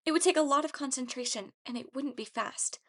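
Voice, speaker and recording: Woman as young girl heroine, high-pitched, earnest, worried, hesitant, storybook character. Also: a little fast, fairly bright tone. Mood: careful. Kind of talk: audiobook character acting.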